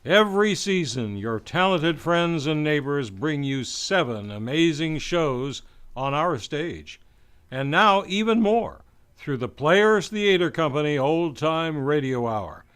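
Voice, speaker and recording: A man's voice: on the high side, lively intonation, takes it slowly, fairly bright tone, clean tone. A man reading a scripted promotional announcement. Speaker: promo announcer for a community theatre.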